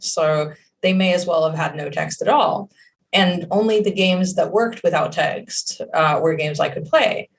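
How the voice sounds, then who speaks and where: A woman's voice: sits low, distant mic, slight background noise. A young woman whose first language is Hebrew, answering interview questions casually, rambling with lots of fillers and restarts. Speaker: game creative director.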